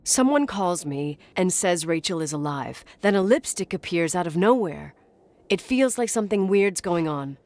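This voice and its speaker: A woman's voice: lowish voice, animated, normal speed, a little mellow. Stubborn, inquisitive young woman. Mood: determined, suspicious. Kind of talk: naturalistic video game dialogue.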